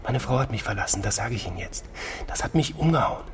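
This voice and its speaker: Actor as weary surgeon confiding over background noise. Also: male voice, medium pitch, expressive, fast, lightly acted. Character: weary, confiding male trauma surgeon held hostage. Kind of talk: naturalistic radio drama. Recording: noisy ambience behind close voice.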